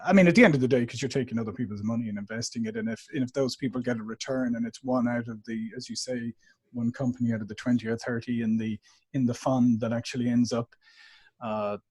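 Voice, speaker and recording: A man's voice: medium pitch, fast, breathy edge. Man, middle-aged, Dublin accent, podcast guest, conversational, many fillers, remote mic. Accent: Irish, Dublin. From Ireland. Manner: animated, many restarts and fillers. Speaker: podcast guest, marketing executive. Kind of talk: conversational interview. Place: home office in the US.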